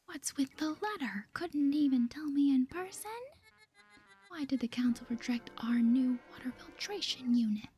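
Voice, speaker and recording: A woman's voice: high voice, expressive, moderate pace, mellow, slightly rough, faint music behind, full character voice. A woman voicing a demanding, irritable video game woman in a whispery, accusatory way. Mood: irritated, demanding. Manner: curt, accusatory. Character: demanding, irritable woman confronting a town official.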